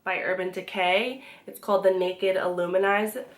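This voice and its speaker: A young woman, slightly hoarse from a cold, chatting casually to camera as she reviews her monthly beauty favorites, with frequent ums and restarts. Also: medium pitch, little pitch movement, normal speed. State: hoarse from being sick. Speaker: beauty vlogger.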